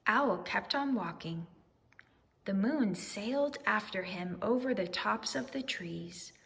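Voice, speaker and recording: Animated woman reading a children's story. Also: mid-range voice, slow pace, vocal fry, big-room sound. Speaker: storybook reader. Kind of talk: expressive children's read-aloud.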